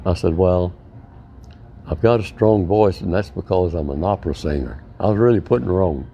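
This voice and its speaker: A man's voice: deep voice, normal speed, dark tone, muffled recording, slight background noise. A man in his nineties, a war veteran being interviewed, telling folksy stories with a chuckle. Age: 90s. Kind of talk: interview conversation.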